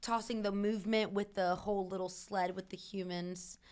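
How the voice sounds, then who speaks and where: A woman co-hosting a podcast in casual, animated chat, laughing a lot as she talks. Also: medium pitch, normal speed. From New York. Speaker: podcast co-host.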